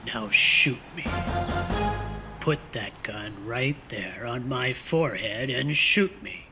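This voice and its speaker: A man playing a stern spymaster in a comic radio play, commanding, exasperated and sardonic in a deliberate delivery. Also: medium pitch, pushed and bright, soft background music, full character voice. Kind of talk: comic radio theater acting.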